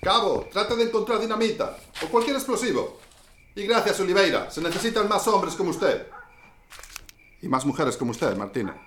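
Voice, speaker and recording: A brisk naval lieutenant. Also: male voice, high voice, lively intonation, fairly bright tone, slight background noise. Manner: brisk, giving orders. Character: naval lieutenant, urgent and commanding. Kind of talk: radio drama acting.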